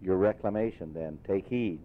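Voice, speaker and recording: A solemn little spirit from a storybook, played by a male reader, speaks gently and with grave authority. The words are measured. The recording is narrow as a phone line, with light background rumble. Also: natural voice. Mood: solemn, gentle. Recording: muffled phone-like audio, rumbling noise.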